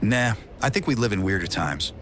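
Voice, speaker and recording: Man playing a low-voiced, laid-back senior boy. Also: lively intonation, moderate pace, slight background noise, natural voice. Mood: nonchalant. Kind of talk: scripted comic dub dialogue.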